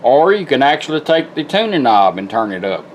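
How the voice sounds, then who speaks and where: A man's voice: mid-range voice, very animated, a little fast, a little hiss or hum. A middle-aged man giving a casual hands-on product demonstration, explaining step by step in a folksy, informal way. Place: indoors at a workbench.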